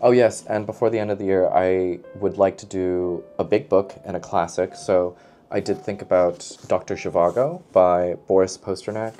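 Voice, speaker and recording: A man's voice: sits low, dark tone. A man talking casually to camera as a book vlogger, playful and emphatic, often repeating a phrase for effect.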